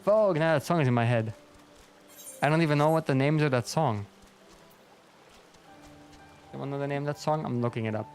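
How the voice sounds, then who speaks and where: A man's voice: fairly high pitch, a little fast, long pauses, faint music behind. A young man from Belgium with a strong Flemish accent, a native Dutch speaker, chatting casually and rambling on a gaming livestream, swearing often. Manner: rambling, swears a lot, self-deprecating, frequent restarts. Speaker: streamer.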